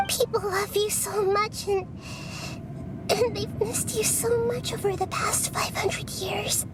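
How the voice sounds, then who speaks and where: A small, gentle goddess child from a game drama. Her voice is extremely high and slow, breaking with grief as she pleads. It sounds distant under loud ambient noise. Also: fairly even intonation. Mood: distraught pleading. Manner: halting, pleading. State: tearful, voice breaking. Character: small child-like female god of wisdom, gentle, grieving. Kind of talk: dramatic video game voice acting.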